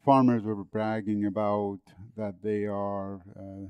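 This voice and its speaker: A man's voice: fairly low pitch, little pitch movement, slow, smooth, no room sound. Older Persian-accented man, interview guest, loose storytelling delivery, dry and slightly muffled audio. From northern Iran. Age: 70s. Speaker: guest, agricultural engineer. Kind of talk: podcast interview.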